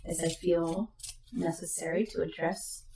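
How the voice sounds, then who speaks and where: Chatty woman tarot reader, low voice. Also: little pitch movement, a little slow, recorded from a distance, slight background noise. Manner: chatty, meandering, soft-spoken asides. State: recovering voice, slight cough. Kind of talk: casual spoken tarot reading. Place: home room with webcam. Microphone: new desk microphone. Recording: echoey room, voice a bit off-mic.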